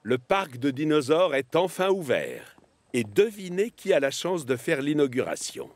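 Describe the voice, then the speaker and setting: A man's voice: a little high, big pitch swings, moderate pace, dry recording, soft background music. A man narrating a children's cartoon story in a scripted storytelling style.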